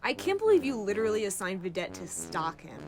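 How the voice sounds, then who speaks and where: A woman playing a cheeky, irreverent, excitable friend who teases and wisecracks in an audio drama. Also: mid-range voice, fairly quick, music in the background, close to an everyday voice. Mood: needling. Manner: wisecracking, teasing.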